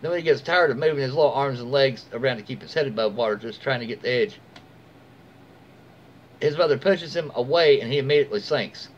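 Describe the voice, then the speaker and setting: A man's voice: medium pitch, brisk. An American man in his forties reads a story aloud informally, occasionally stumbling over words and correcting himself. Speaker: storyteller reading online posts aloud. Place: small room at home, indoors. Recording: dull lo-fi mic, faint background noise.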